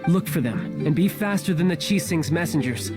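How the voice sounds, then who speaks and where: A man playing a charming, sly young villainous diplomat in a video game, breezy, teasing and smoothly persuasive. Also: on the high side, animated, normal speed, natural voice. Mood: grave, persuasive. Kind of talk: video game character acting. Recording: music behind the voice. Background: game music.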